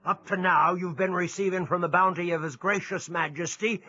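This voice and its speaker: An actor playing a bullying prison-ship officer, barking and taunting in an audio drama. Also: male voice, sits high, steady tone, normal speed, heavy background noise, close to an everyday voice. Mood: scornful authority. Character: bullying, sneering British prison-ship officer, gruff and mocking. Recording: muffled recording, crowd behind.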